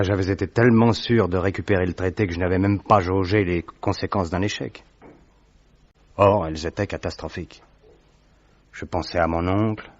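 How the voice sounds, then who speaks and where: A man's voice: low-pitched, dry recording. An actor playing a distraught young diplomat who recounts his ordeal earnestly. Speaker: narrator. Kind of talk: radio drama narration. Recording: dry, dull lo-fi sound.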